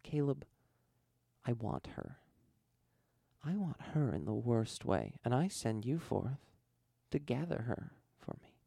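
A man's voice: medium pitch, very animated, unhurried, warm tone, no room sound, clean studio sound, voice pushed up. A man voicing an ancient, seductive female-sounding evil spirit with a coaxing drawl in an audiobook story. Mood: commanding, wanting.

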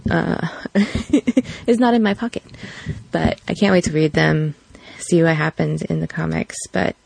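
A woman hosts a podcast in loose, unscripted talk. Her voice is slightly low and heavily gravelled with fry. The sound is dry, with no room around it. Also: a little mellow, dry recording, poor audio. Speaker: podcast host. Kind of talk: casual, unscripted introduction.

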